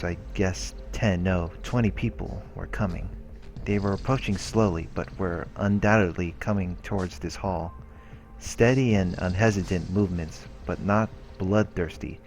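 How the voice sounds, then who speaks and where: Man, deep voice, let's play host, measured read-aloud narration, visual novel, faint music underneath. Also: darkish tone. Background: game background music.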